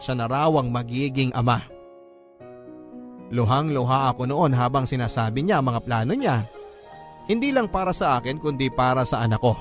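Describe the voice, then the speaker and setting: A man's voice: medium pitch, lively intonation, normal speed, long pauses. A man with a Filipino accent reading a scripted first-person story as a radio drama narrator. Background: background music.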